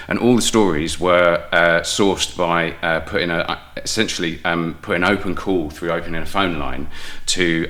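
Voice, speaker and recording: A man's voice: rumbling low, sharp tone. A man speaking as a podcast guest, explaining things in an articulate, discursive, conversational way. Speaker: podcast guest, playwright and audio producer. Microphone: podcast microphone with headphones.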